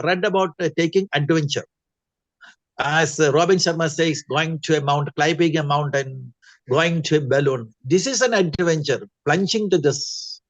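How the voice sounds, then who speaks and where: A man's voice: fairly high pitch, creaky, no room sound. A man with an Indian accent giving a congratulatory, exhorting feedback speech as a trainer on an online call. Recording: muffled online meeting audio.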